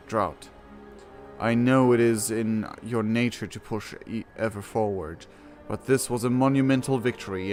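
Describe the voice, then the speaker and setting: A man doing casual, rambling reaction commentary as a gamer, sometimes acting out mock quotes. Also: medium pitch. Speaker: gamer reacting on his channel. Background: faint game music.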